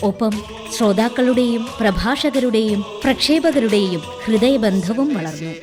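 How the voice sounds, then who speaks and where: A woman's voice: on the high side, animated, a little slow. A woman radio announcer reading a formal, polished promotional script. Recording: clear music bed behind.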